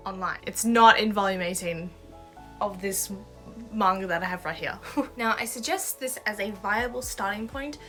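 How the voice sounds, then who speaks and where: A woman's voice: medium pitch, pushed and bright. A young Australian woman with an Australian accent, talking casually and enthusiastically to camera as a manga vlogger. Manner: chatty and enthusiastic, with asides and laughs. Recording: faint background music.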